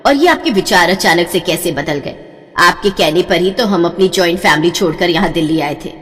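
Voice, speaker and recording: A woman's voice: lowish voice, animated, a little fast, fairly bright tone, in a large hall, voice pushed down. A woman voicing a practical, exasperated middle-aged mother in a storybook, scolding and world-weary. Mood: worried, doubtful. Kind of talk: audio storybook acting.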